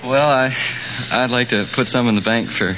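Halting man, very noisy old recording. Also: medium pitch. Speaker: quiz contestant. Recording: dull old radio recording, loud noise.

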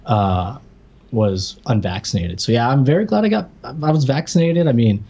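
A man's voice: medium pitch, lively intonation, moderate pace, dark tone, breathy edge, highs cut off. An American man co-hosting a podcast, chatting casually as he tells personal anecdotes and acts out what people said. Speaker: podcast co-host.

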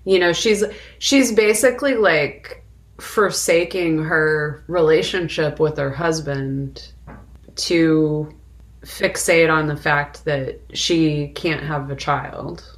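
A woman co-hosting a podcast, talking casually about films and pausing deliberately mid-sentence. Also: low-pitched. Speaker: podcast co-host. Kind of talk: casual film discussion.

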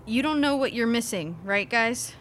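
An actress playing a worried member of a science team in an audio drama. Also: female voice, a little high, expressive, moderate pace, noisy background, lightly acted. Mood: encouraging.

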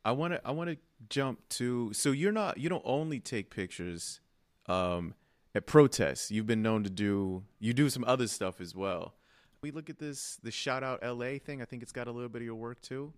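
A man's voice: mid-range voice, normal speed, clear, resonant tone, no echo, clean studio sound. A man hosting a casual livestream interview, speaking informally and often repeating his phrases. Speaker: interviewer, show host.

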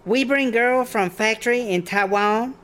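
Bossy startup boss, clipped broken English. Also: female voice, on the high side, measured pace, a bit bright. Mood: brisk, bossy. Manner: clipped broken English, dropped verbs and articles. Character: bossy woman startup boss pushing dubious business, breezy. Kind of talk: comedy audio drama acting.

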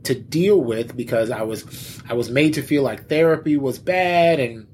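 Husky-voiced man giving candid testimony. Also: mid-range voice, a little mellow. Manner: halting, repeats words, frequent "you know". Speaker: pastor and church musician, interview guest. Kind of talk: candid personal testimony in conversation.

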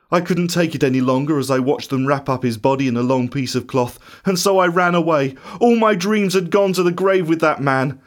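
A man plays an anguished male disciple in a dramatic storytelling monologue. His voice is high for a man. He is bitter and doubting, theatrical in his grief, and moves between hushed confession and raw shouting. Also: natural voice.

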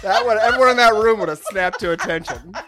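A woman's voice: medium pitch, fast. A woman in her forties co-hosting a podcast with casual, comedic chat. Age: 40s. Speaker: podcast co-host. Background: music.

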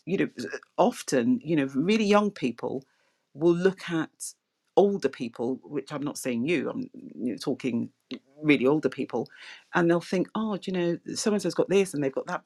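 A middle-aged woman with a soft voice, hosting a casual property chat over a video call, chatty and laughing often. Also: low voice. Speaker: property podcast host. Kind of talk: casual online interview chat.

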